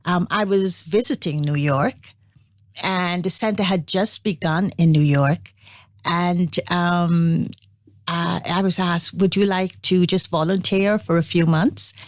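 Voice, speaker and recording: An older woman from Guyana, a spiritual organization's representative, telling her story in a measured, unhurried interview. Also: on the low side, steady tone, no echo, clean studio sound. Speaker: interview guest, Brahma Kumaris representative. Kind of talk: reflective interview talk.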